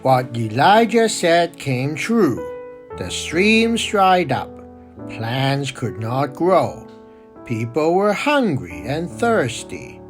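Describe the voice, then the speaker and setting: Woman voicing a timid, low-pitched storytelling monkey. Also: faint music behind, heavily acted. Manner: slow, deliberate, expressive delivery for children. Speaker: storyteller and co-host. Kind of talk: children's puppet show and storybook reading.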